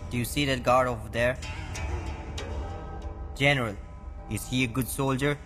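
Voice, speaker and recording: A cold film assassin with very slow, deliberate, menacing speech. His mid-pitched voice sits over loud, dramatic music. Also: fairly even intonation. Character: menacing, slow-spoken male assassin questioning his commander.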